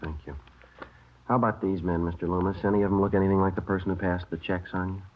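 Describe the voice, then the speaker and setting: Male actor as terse American radio detective, very deep and matter-of-fact, vintage muffled broadcast. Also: flat delivery, fast talker, lightly acted. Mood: businesslike. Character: calm, businesslike male police sergeant narrating his case. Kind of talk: 1950s radio police drama, clipped narration. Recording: dull vintage radio broadcast, loud music.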